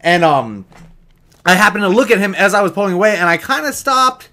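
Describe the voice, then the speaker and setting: A man's voice: sits high, expressive, a little fast, sharp tone. An American man telling a casual story in a rambling, teasing, joking way, like a vlogger.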